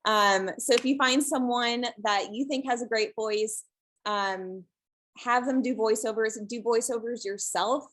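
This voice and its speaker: Calm woman, webinar presenter on a video call; soft, unhurried, hesitant fillers. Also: fairly high pitch. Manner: relaxed, many ums, soft and calm. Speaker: guest presenter, shelter social media creator.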